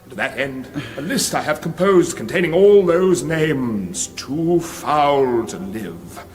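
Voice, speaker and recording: A British actor playing an alarmed, bombastic royal commander in a radio comedy, declamatory and exasperated. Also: male voice, fairly high pitch, animated, takes it slowly. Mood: commanding, urgent. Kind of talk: British radio comedy fantasy parody acting.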